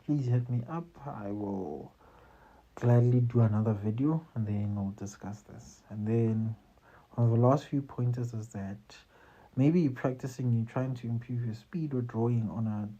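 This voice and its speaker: Dark husky South African man. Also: medium pitch, dull audio. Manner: loose and conversational, often tags lines with "you see" and "man". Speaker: host giving job interview advice. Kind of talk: casual advice talk to camera.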